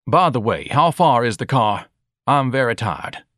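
A man's voice: medium pitch, lively intonation, unhurried, a bit bright, dry recording, studio quality, voice pushed up. Man voicing a pompous, indignant grown son, sweeping intonation. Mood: aggrieved, lofty. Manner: grandiloquent, theatrical, formal diction.

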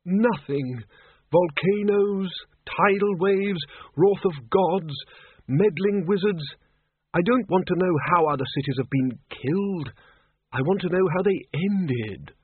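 An ancient undead wizard in a fantasy audiobook, played by a male narrator. He muses softly and wryly, with thoughtful hesitations, in a slightly husky voice on a tinny, band-limited recording. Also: high voice, slow, dry recording, pitched above the performer's own voice, heavily acted. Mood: weary, brooding. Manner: soft-spoken, hesitant, thoughtful. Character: very old undead male wizard, musing, wry.

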